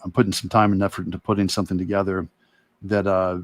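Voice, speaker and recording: A middle-aged man, an art teacher, talking earnestly and reflectively in halting phrases in a casual livestream discussion. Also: sits low, flat delivery, dry recording. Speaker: artist and illustration teacher, guest.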